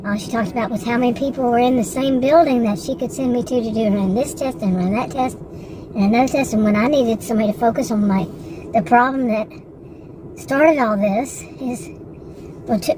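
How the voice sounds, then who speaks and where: A woman's voice: on the high side, normal speed, background noise. A woman in her sixties in a rambling, frustrated casual monologue, with many restarts and asides. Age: 60s. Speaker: vlogger.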